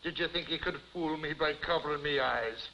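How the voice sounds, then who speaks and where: A self-important small-town police chief, blustery and officious. His voice sits a little high with a very sharp, forceful edge. It comes through a muffled, hissy 1950s television soundtrack. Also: a little fast. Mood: cooing, doting. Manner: pompous, blustery. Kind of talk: 1950s television comedy acting.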